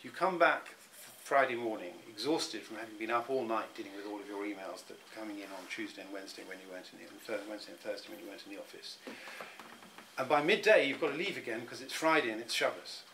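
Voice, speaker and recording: A man's voice: lowish voice, quick pace, bright, forceful tone, a little hiss or hum, low-quality recording. A middle-aged man, speaking in an interview and often restarting his words as he thinks. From England. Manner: thoughtful, many restarts and repeated words. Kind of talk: reflective personal interview.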